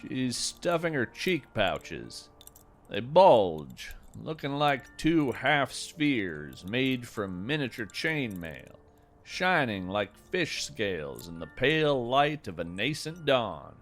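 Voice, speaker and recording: A man playing a gentle, whimsical naturalist in a fiction podcast, narrating with folksy, reflective wonder and deliberate phrasing. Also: medium pitch, very animated, faint music behind. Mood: hushed wonder. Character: gentle, whimsical male naturalist marvelling at strange creatures. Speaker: host and narrator. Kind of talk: scripted fiction podcast narration, storytelling monologue.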